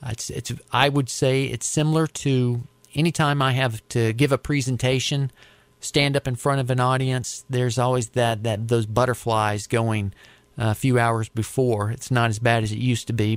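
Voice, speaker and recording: A man's voice: medium pitch, no echo. A middle-aged man with an American accent explaining his career in an informal interview, conversational, often repeating words as he thinks. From United States. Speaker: interviewee, air traffic controller.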